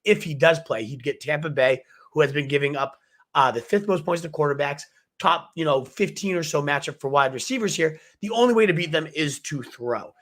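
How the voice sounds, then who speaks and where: A man with a cold and a hoarse voice, hosting a fantasy football advice livestream with excited, fast-talking hype. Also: on the high side. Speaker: fantasy football show host. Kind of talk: energetic livestream sports advice.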